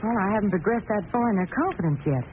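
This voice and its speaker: Actress doing fast-talking confident society gal detective, vintage radio drama, crackly sound. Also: female voice, medium pitch, expressive, natural voice. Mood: eager, earnest. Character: eager, confident society woman sleuth. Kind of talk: old-time American radio drama acting. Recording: scratchy, tinny vintage radio recording.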